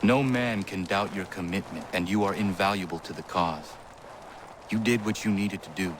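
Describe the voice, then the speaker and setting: A man's voice: deep voice, normal speed, natural voice. An actor playing an earnest, stubborn young male assassin in a video game, speaking in formal, terse, confrontational lines. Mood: stoic. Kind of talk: dramatic video game cutscene acting. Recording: clear background ambience noise.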